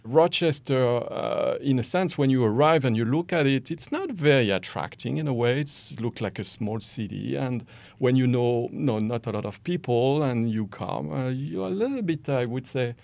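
Man, French accent, forties, gravelly voice, dry close sound, podcast guest, hesitant with fillers. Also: mid-range voice, moderate pace, no room sound. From France. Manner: animated, gestures with both hands, hesitant fillers. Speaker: guest, company founder. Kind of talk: podcast interview. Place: small dark studio set, seated in armchair. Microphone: studio microphone on boom stand.

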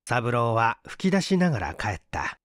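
A man's voice: medium pitch, big pitch swings, normal speed, a bit bright, no echo, studio quality. A man reading a literary story as a scripted audiobook narration. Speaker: audiobook narrator and stage actor.

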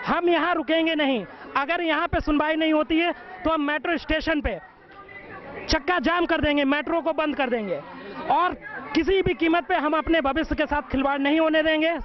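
A young man, a protesting exam candidate, speaking fervently and insistently into a handheld mic outdoors among a crowd. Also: extremely high pitch. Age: young adult. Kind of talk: impassioned interview statement. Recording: dull sound, crowd behind.

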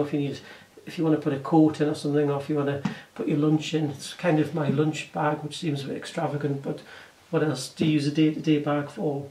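Hoarse English man rambling through a bag review. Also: on the high side, a little mellow, reverberant, far from the mic, slight background noise, rough recording. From England. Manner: rambling, self-correcting, chatty. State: sore throat, losing his voice. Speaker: vlogger reviewing bags. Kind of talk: casual unboxing review. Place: room indoors, curtain backdrop.

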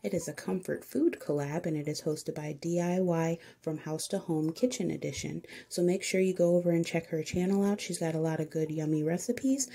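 A woman's voice: sits low, creaky, mellow. A woman hosting a home cooking channel, giving chatty, encouraging step-by-step recipe voice-over.

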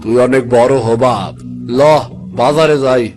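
A man's voice: mid-range voice, measured pace, darkish tone, soft background music, natural voice. A man voicing a tired, kindly poor fisherman father with a rural Bangladeshi accent in a cartoon. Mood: gentle. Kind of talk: cartoon voice acting.

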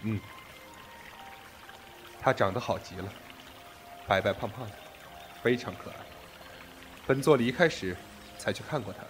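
A man's voice: fairly low pitch, unhurried, pauses often, music in the background, lightly acted. A man acting a commanding, possessive sect master in an ancient Chinese audio drama, with an imperious, controlled delivery. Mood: calm, coaxing.

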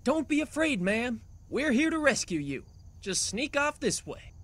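A man's voice: very high voice, sing-song, unhurried, a bit bright, no room sound, heavily acted. A man voicing a pompous, easily flustered Old West marshal in a theatrical fan comic dub. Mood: hushed coaxing. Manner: grandiose, theatrical.

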